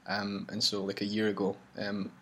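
Campaign host, male, husky and low, rambling chatty delivery. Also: completely flat delivery, moderate pace, fairly bright tone. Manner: rambling, chatty, many restarts. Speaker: campaign leader and vlog host. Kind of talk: casual chat. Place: traveling campaign van.

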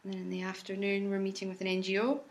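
A woman's voice: medium pitch, completely flat delivery, fast. A woman who drives and edits video for the campaign, answering interview questions in a matter-of-fact, understated way. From Scotland.

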